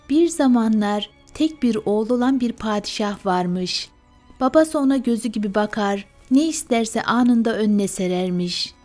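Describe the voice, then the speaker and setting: A woman's voice: fairly high pitch, darkish tone. Woman, bedtime tale narrator, scripted storytelling, music behind. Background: music and fire sounds.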